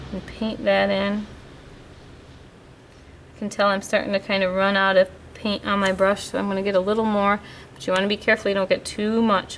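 A woman walks through a watercolor lesson in a calm, patient, step-by-step way, speaking as she paints at a table. Her voice sits at an ordinary middle pitch. A faint hum of room tone stays under her voice. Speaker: art teacher. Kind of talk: painting demonstration. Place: indoors at a table, hands-only overhead camera.

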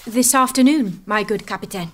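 Actress as brave young maiden commander, devout, earnest, formal, medium-pitched, audio drama. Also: female voice, moderate pace, close to an everyday voice. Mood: resolute.

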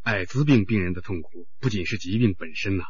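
An actor playing a patient, cautioning doctor who explains things chattily in a radio drama. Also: male voice, low-pitched, a little fast, highs cut off, a little hiss or hum, close to an everyday voice. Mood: sober explaining.

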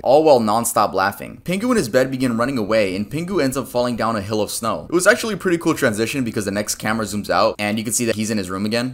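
A man in his twenties hosting a video countdown, mixing scripted narration with casual, informal asides. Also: medium pitch. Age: 20s. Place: small bedroom, indoors.

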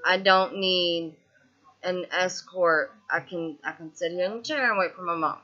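Bright-voiced Southern woman venting to webcam. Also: lowish voice, a little slow, highs cut off. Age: 20s. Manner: frustrated, venting with frequent restarts and fillers. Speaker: vlogger. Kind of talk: casual video diary. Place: small indoor room, seated on a couch.

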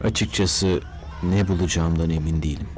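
A man's voice: low-pitched, fairly even intonation, normal speed, lightly acted. A man playing a serious, confident magician hero in a dubbed cartoon, composed and commanding. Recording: loud music behind.

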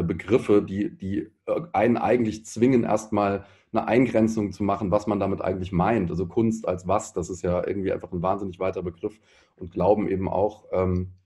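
Man in his thirties, low mellow voice, halting and thoughtful. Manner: thoughtful, halting, many fillers. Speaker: panel guest. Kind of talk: discussion answer.

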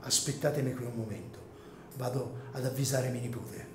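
A man's voice: medium pitch, steady tone, moderate pace, reverberant, noisy background, close to an everyday voice. A man playing an anxious, scrupulous cemetery caretaker in an Italian radio drama, agitated and hesitant. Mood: reluctant, yielding. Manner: agitated, hesitant, repeats words.

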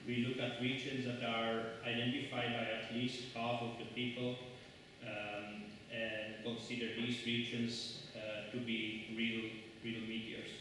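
Slow, halting man in an echoey hall. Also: mid-range voice, completely flat delivery, a bit bright, recorded from a distance, a little hiss or hum. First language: Dutch. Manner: hesitant, many ums and restarts. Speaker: conference speaker. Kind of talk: scientific conference talk. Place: large lecture hall. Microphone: lectern mic, far camera.

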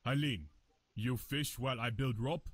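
A man's voice: medium pitch, normal speed, natural voice. An actor playing an earnest castaway man in a visual novel, speaking in stilted, simple phrases. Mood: calm, practical. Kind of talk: video game voice acting.

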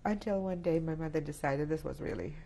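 A Polish-born woman in her sixties, a native Polish speaker, giving reflective oral history testimony in a halting way, with many restarts. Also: deep voice, vocal fry, warm tone. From Poland. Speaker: interviewee. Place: indoor studio set with plants.

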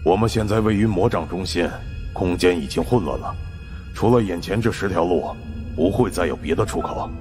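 Man doing a low, stubborn director. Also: brisk, darkish tone, soft background music, lightly acted. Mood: resigned. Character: stubborn, weary male director, expert in traps.

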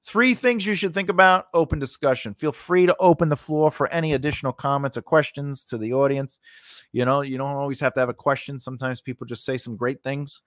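A man's voice: mid-range voice, fairly quick, a bit bright, no room sound. A man in his forties giving an informal lesson in a conversational, rambling way, close on a studio microphone. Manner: conversational, rambling, punctuated with "you know" and "right?". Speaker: host of a screenwriting lesson. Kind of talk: informal instructional talk. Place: small indoor home studio. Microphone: large condenser microphone on a boom arm, close up.

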